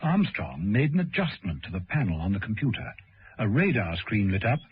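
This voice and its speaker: Animated male radio serial narrator. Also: lowish voice, moderate pace, husky. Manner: suspenseful. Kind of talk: dramatic scripted narration, vintage radio serial. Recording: muffled old radio broadcast recording.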